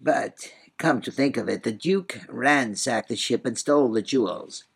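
A man's voice: mid-range voice, a little slow, creaky, breathy edge, voice pushed up. A man voicing a whimsical, boastful, riddling old man in a storybook reading, with proud, sing-song, muddled wordplay. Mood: musing, muddled. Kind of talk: storybook character voice in audiobook reading.

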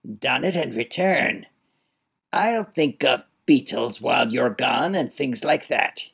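A man voicing a cold, sneering old duke who taunts slowly and menacingly in an audiobook. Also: mid-range voice, lively intonation, gravelly, a bit bright, husky, heavily acted. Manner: slow, menacing, mocking. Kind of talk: audiobook character voice.

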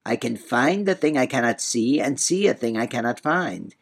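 A man's voice: medium pitch, animated, normal speed, creaky, voice pushed up. A man voicing a whimsical, boastful, riddling old man in a storybook reading, with proud, sing-song, muddled wordplay. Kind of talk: storybook character voice in audiobook reading.

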